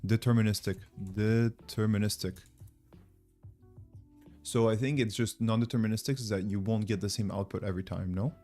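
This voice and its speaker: Male livestream host, French accent, lower register, informal and rambling, faint music underneath. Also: fairly even intonation, normal speed, warm tone. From France. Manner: informal, rambling, thinking aloud. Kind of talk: casual livestream chat with viewers.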